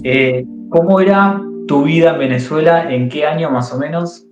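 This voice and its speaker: A man's voice: a little high, normal speed, roomy sound, highs cut off, music in the background. A man hosting a casual interview, asking short prompting questions.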